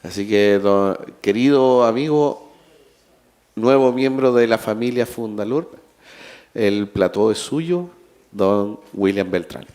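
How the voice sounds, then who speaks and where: A man hosting a conference, introducing the next speaker in a halting, friendly way. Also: fairly low pitch, darkish tone. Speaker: conference host. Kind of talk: live conference emcee.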